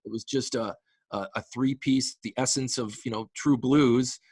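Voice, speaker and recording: A man's voice: medium pitch, fairly even intonation, moderate pace, darkish tone, husky, no echo, studio quality. A man in his late forties from the Canadian prairies, chatting as a guest musician in a casual interview, enthusiastic and rambling with frequent restarts. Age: late 40s.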